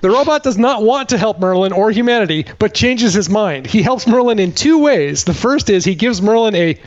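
A man's voice: sits high, expressive, moderate pace, muffled recording. A man giving a comic movie recap as a podcast reviewer, sardonic and emphatic in delivery.

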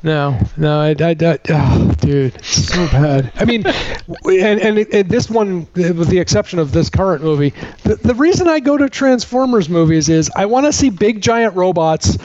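A man's voice: high voice, lively intonation, normal speed, hardly any pauses, a little mellow. A man from the United States co-hosting a podcast, chatting casually with a wry, sarcastic manner. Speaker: podcast co-host. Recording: dull, lo-fi podcast audio.

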